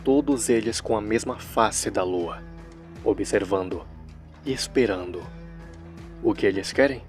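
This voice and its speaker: A man's voice: fairly low pitch, unhurried, soft background music. A Brazilian man narrating a story recap in a casual commentary style, with wry, joking asides. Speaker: narrator of a horror series recap video.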